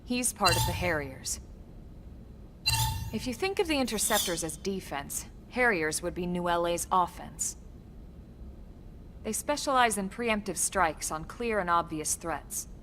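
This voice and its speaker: An actress playing a composed, no-nonsense female military officer in a video game, speaking in a crisp, measured, dry way. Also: fairly low pitch, expressive, noisy background. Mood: measured, explaining. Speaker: voice actor. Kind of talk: scripted video game cutscene acting.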